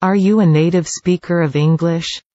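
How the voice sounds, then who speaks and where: A woman's voice: fairly low pitch, fairly even intonation, unhurried, dark tone, no echo, highs cut off. A woman reading short English questions for a language lesson in a careful, scripted way. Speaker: English dialogue reader.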